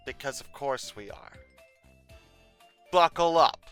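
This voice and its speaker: A video host giving comedic commentary on pictures with theatrical mock exasperation, swinging between deadpan asides and outbursts. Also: medium pitch, slow pace, clean tone, soft background music.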